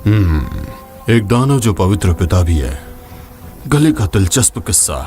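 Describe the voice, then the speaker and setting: A very low voice over clear music: a veteran demon hunter and scholar. He is wary, solemn and measured, in dark fantasy game style. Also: big pitch swings. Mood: grave, pondering. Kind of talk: dramatic fantasy game dub. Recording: music behind the voice.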